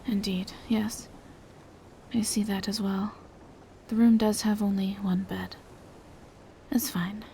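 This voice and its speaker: Actress as courteous female vampire, soft-spoken, even intonation, polite and hesitant, audio roleplay, noticeable background noise. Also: mid-range voice, slow, vocal fry, long pauses, poor audio. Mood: wistful, hushed, then uneasy, hushed. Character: gentle, courteous female vampire traveling with an injured knight. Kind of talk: roleplay audio drama addressed to the listener.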